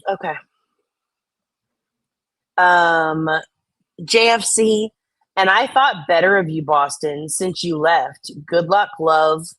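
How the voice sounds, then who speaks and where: A woman's voice: on the low side, takes it slowly, pauses often, fairly bright tone, dry recording, low-quality recording. A woman co-hosting a casual chat, reading out and reacting to comments in a blunt, opinionated way with some swearing.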